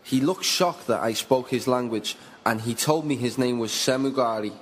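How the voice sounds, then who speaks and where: A man playing a middle-aged, conflicted slave merchant in a radio drama, speaking in a measured, formal, earnest way. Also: medium pitch, slight background noise, lightly acted. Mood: grave, reflective. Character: conflicted older slave merchant, male, firm yet humane. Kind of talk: radio drama dialogue and first-person narration. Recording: music bed under the voice.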